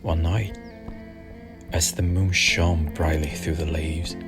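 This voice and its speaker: A man softly narrating a soothing ASMR bedtime story in a gentle, unhurried, hushed manner over music. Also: low voice, little pitch movement. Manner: gentle, hushed, unhurried, at times near a whisper. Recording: music behind the voice.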